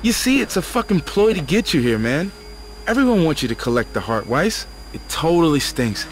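A man's voice: on the high side, very animated, moderate pace, a little hiss or hum. A man playing a suspicious, foul-mouthed, jittery sidekick in a video game, hesitant and grumbling. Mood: agitated. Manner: hesitant, grumbling, casual swearing. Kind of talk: video game cutscene acting.

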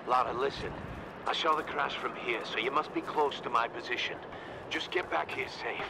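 A middle-aged man playing a weathered, protective, pragmatic mentor in a video game, with a gravelly voice. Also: mid-range voice, moderate pace, heavy background noise, natural voice. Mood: concerned. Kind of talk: video game cutscene acting. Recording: loud music and game effects behind.

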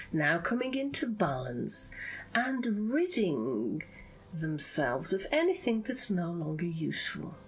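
Slow, swooping woman hypnotherapist, soothing delivery. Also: medium pitch, low-quality recording. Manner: soothing, drawn-out phrasing, often drops into breathy whisper. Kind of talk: hypnosis session, guided visualization.

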